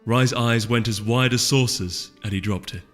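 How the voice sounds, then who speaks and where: A man reading fantasy fiction as a story narrator, in an expressive, suspenseful scripted style. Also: mid-range voice, normal speed. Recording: long hall reverb under music.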